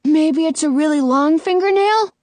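A woman voicing an excitable, curious young boy in a storybook, blurting things out eagerly. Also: high voice, moderate pace, no echo, voice pushed up, close to an everyday voice.

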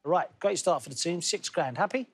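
High-voiced quiz host. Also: male voice, animated, a little hiss or hum. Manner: brisk, quipping, reads questions crisply. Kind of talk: TV game show hosting, jokey.

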